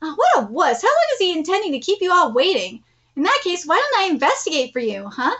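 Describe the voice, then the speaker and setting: Woman doing a bright, smug girl schemer. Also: very high voice, huge pitch swings, quick pace, lo-fi sound, full character voice. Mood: smug, teasing. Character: scheming, self-styled girl investigator, theatrical and smug.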